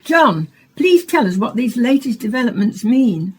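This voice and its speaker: An actress playing a dramatic, urgent female news reporter with a husky voice in a radio play. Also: on the high side, sing-song, measured pace, slight background noise. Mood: calm, questioning. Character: female field radio reporter, distressed witness of alien invasion.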